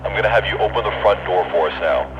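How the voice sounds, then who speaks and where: A man's voice: fairly low pitch, quick pace. An actor playing a composed male team leader in an audio drama, giving orders through a radio filter. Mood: pleased, commanding. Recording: muffled, noisy radio link.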